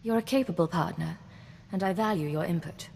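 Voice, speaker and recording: A woman's voice: lowish voice, brisk, creaky, a little mellow. A woman playing a cool, self-assured intelligence agent in a video game, composed and wry. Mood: businesslike. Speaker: game player character. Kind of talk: scripted game dialogue acting. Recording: reverberant hall, faint background noise.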